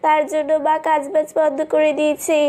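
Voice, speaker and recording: A woman's voice: high voice, completely flat delivery, a little fast, dark tone, close to an everyday voice. A woman voicing a young, chatty, knowing daughter in a cartoon family comedy, speaking in a cheeky, explanatory way.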